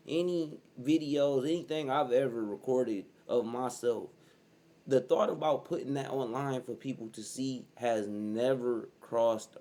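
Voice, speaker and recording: A man's voice: medium pitch, a little mellow. A man in his early thirties giving blunt, profane reaction commentary as host, keeps saying "bro". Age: 30s.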